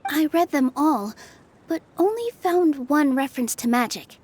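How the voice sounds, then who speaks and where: A woman playing a gentle, earnest young dancer turned forest fairy in a video game, speaking politely. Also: sits high, animated, unhurried, a little hiss or hum. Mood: earnest, explaining. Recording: music playing behind the voice.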